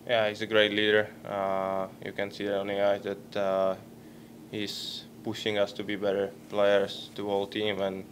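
Young czech guy at a press conference mic, halting, super flat monotone, slight hiss behind. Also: fairly low pitch. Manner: halting, searching for words, very flat delivery. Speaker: hockey player at a postgame press conference. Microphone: table microphone.

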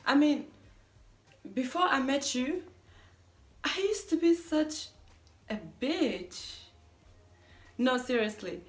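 A woman's voice: sits high, expressive, takes it slowly, long pauses, voice pushed up. A woman performing a theatrical monologue as a self-declared mean young woman resisting a marriage proposal, dramatic and emphatic. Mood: panicked pleading. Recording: echoey room.

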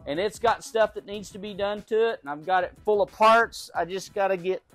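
A man's voice: high-pitched, fairly bright tone, faint music behind. Folksy man touring his garage. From Oklahoma. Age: middle-aged. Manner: folksy, unhurried, repeats words while thinking. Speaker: car collector giving a garage tour. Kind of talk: casual show-and-tell. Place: large garage.

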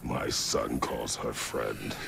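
Very gravelly, stern warrior father. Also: flat delivery, takes it slowly, a bit bright, slight background noise, full character voice. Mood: grave, restrained. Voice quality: very gravelly, deep. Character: stern, weary warrior father urging restraint. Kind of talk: dramatic video game cutscene acting. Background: game music.

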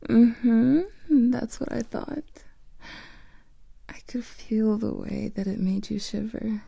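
A young woman voicing a teasing female vampire lover in an ASMR roleplay, whispering softly close to the microphone. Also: a little high, steady tone, drawn-out words, long pauses, no room sound, exaggerated voice. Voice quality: breathy whisper with vocal fry.

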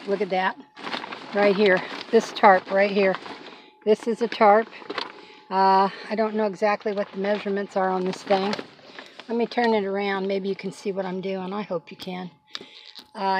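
A woman's voice: mid-range voice, noisy background. An older woman vlogging from inside her cargo van, chatting folksy and casual as she demonstrates something, often apologizing and asking for patience. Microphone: handheld phone camera.

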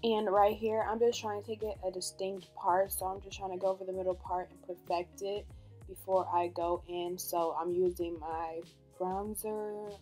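A woman in her twenties with a dry, deadpan voice, chatting casually through a hair tutorial as a beauty vlogger. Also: lowish voice. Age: 20s. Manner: monotone, deadpan delivery. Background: background music.

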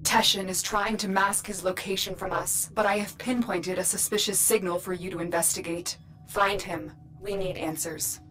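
An actress playing a calm, protective mission guide in a video game, serious and directing. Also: female voice, medium pitch, normal speed, slight background noise, natural voice, poor audio. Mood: focused. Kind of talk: video game character acting.

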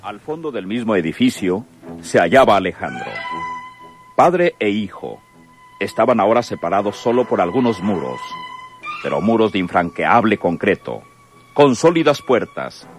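A man's voice: low voice, very animated, moderate pace, faint music behind. A man narrating a radio serial in a grave, dramatic scripted style. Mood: ominous, grave.

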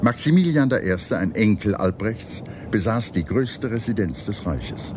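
Low-voiced man narrating history. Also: animated, unhurried, noisy background. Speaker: documentary narrator.

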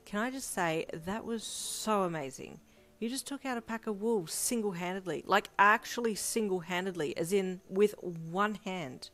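A woman voicing an excitable, teasing young player character with a bubbly manner, in a reader-insert story. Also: mid-range voice, moderate pace, faint music behind, voice pushed up, natural voice. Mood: excited.

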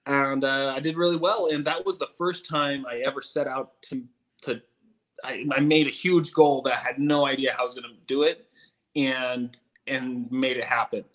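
A young man in a casual interview over a video call from home, telling his story in a relaxed way with many fillers. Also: medium pitch, normal speed. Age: young adult. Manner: laid-back, many "uh"s. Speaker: guest stuntman. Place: room at home.

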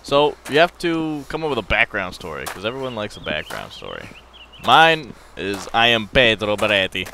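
A man's voice: lowish voice, moderate pace, gravelly, slight background noise. A young man casually commentating on a zombie survival game with a friend, rambling and crude-joking, recorded on a headset mic. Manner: rambling, crude joking, breaks into excited bursts. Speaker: gameplay channel host.

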